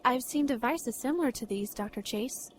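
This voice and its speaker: A woman's voice: high voice, moderate pace, slight background noise, lightly acted. An actress playing a curious, refined young society lady in a period radio drama, polite, composed and formal.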